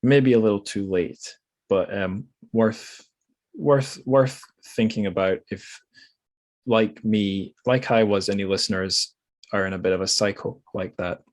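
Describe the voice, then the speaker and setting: A man with a slightly low voice hosts a bookish podcast. He talks casually and thoughtfully, feeling his way through ideas and rephrasing mid-sentence. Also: measured pace. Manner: hesitant, reflective, self-correcting. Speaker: podcast host. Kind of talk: casual literary podcast discussion.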